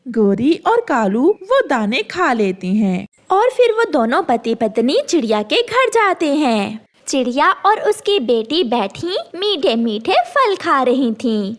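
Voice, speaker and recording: A woman's voice: high-pitched, very animated, takes it slowly, hardly any pauses. A woman narrating a scripted children's story.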